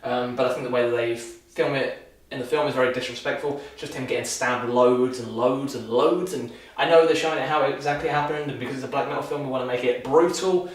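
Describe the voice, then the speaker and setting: A man's voice: medium pitch, brisk, few pauses. A young man vlogging casually while shaving, talking loosely with lots of restarts and dry sarcasm. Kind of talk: casual rambling film review. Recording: echoey room, mic far off.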